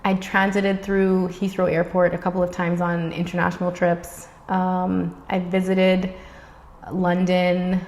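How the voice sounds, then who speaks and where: Woman vlogger, 30s, from the Bahamas, neutral American-sounding accent, chatty and upbeat, lowish voice, reverb tail. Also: completely flat delivery, measured pace, darkish tone. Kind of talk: casual talk to camera.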